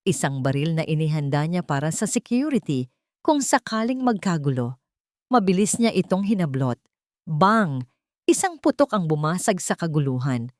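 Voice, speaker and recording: A woman's voice: sits low, big pitch swings, normal speed, a little mellow, no room sound, clean studio sound. A woman narrating a dramatic scripted story in an intense, emotive way.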